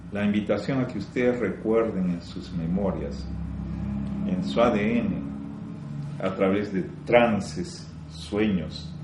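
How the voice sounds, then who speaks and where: Male spiritual teacher, low voice, echoey room, slow emphatic delivery, rhetorical questions. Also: background noise. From Peru. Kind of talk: spiritual teaching talk to a group.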